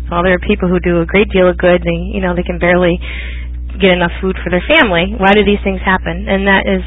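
A man's voice: high voice, breathy edge. A man teaching a casual online religious study class, rambling and often restarting his phrases. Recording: dry, phone-like online voice chat.

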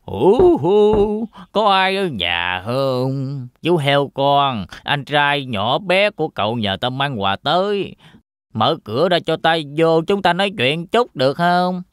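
Sly fairy tale villain wolf: threatening drawl, very slow, dry close sound. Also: male voice, a little high, big pitch swings, clear, resonant tone, dry recording. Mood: falsely friendly. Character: sly, menacing male big bad wolf. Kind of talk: children's fairy tale cartoon dub.

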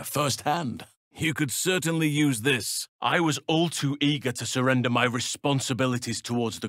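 An actor voicing a wise, gentle and grave archdruid in a fantasy video game, with measured, formal and thoughtful phrasing. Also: male voice, mid-range voice, no echo, clean studio sound, close to an everyday voice. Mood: calm, reflective.

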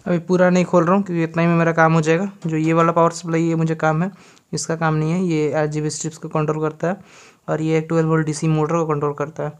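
A man's voice: on the high side, completely flat delivery, fast talker. Chatty young man vlogging from his bedroom. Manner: chatty, joking, explains as he works. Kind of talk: casual vlog talk to camera. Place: small bedroom at home, indoors. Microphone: phone camera at arm's length.

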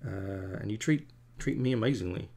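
A man's voice: fairly low pitch, normal speed, vocal fry, darkish tone, breathy edge. A man in his forties, a comic artist chatting in a rambling, self-deprecating way to stream viewers while he draws at home. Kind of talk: rambling casual chat while drawing. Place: home studio at a drawing desk.

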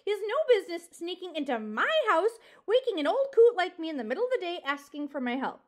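A woman's voice: high voice, very animated, a little fast, a bit bright. Woman putting on a blustering, cranky old porcupine. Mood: scornful, ranting. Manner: blustering, insulting, bellowing and screeching. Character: grumpy, bellowing old male porcupine, scornful and cranky. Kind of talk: animated storybook character reading.

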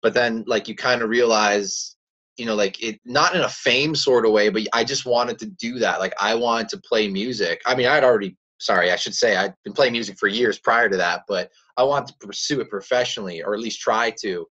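A raspy young man from Canada talks in a fairly low voice, relaxed and rambling as he answers questions. The sound is muffled and lo-fi, as if carried over a video call from a small room at home. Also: brisk. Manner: relaxed, rambling, laughs easily. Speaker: country singer, guest. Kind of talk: casual interview chat. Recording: dull lo-fi video call audio.

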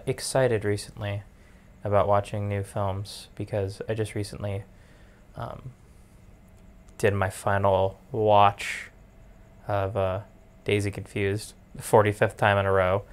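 A young man in his twenties, losing his voice, rambling in a relaxed way as host of his own podcast from his bed at home. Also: fairly low pitch, slow, long pauses, clear, resonant tone. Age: 20s. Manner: relaxed, meandering, many fillers. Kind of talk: casual solo podcast monologue.

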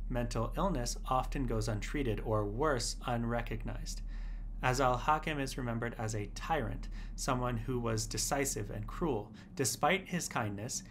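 A man reading his own essay aloud as scripted narration. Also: mid-range voice. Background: instrumental music.

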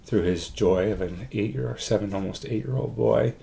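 Low, gravelly man speaking haltingly. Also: warm tone. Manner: halting, hesitant delivery with long pauses. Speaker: adoptive parent, interviewee. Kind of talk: reflective documentary interview. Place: room at home, indoors.

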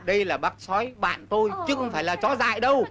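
A genial cartoon bear, folksy and teasing. His voice sits high for a male and moves up and down in lively swings. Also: fast talker, crowd noise. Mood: urgent, appealing. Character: genial, wry bear truck driver in a cartoon. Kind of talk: animated cartoon dubbing.

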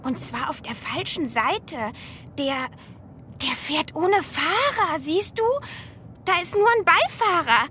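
A woman's voice: high voice, expressive, takes it slowly, pushed and bright, a little hiss or hum, heavily acted. A woman voicing an eager, stubborn little girl in a children's radio play, childlike and argumentative. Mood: excited.

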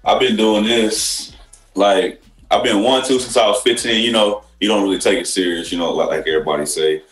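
A young man in his twenties with a very deep voice talks briefly and quietly. He sits on a couch, and the room adds an echo. Soft music runs underneath. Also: fairly even intonation, a little fast. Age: 20s. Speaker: rapper guest. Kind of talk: casual interview chat. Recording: roomy echo, faint music.

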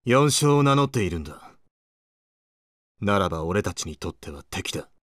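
Low-voiced, grim, terse soldier. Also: male voice, moderate pace, no room sound, studio quality. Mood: grim, cold. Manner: terse, blunt, flat statements. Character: grim, ruthless male soldier of few words. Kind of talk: Japanese video game voice acting.

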